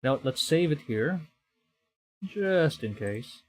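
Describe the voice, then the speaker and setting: A man's voice: a little high, animated, slow, slight background noise. A man streaming a horror visual novel, reading it aloud with live reactions and acting out the dialogue dramatically.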